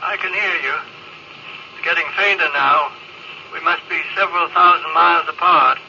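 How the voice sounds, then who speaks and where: A man's voice: a little high, steady tone, normal speed, creaky. An actor playing a candid, disillusioned spaceman in an old radio drama, blunt, sardonic and weary in manner. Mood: tense, grim. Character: candid, resigned, bitterly disillusioned spaceman, male. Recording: muffled old radio broadcast, faint hiss.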